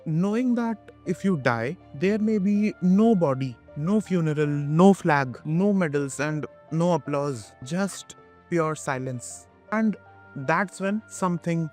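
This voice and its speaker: A man with an Indian accent narrating a scripted opinion video essay, emphatic and rhetorical, with dramatic pauses and repetition. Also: on the high side, lively intonation, darkish tone. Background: background music.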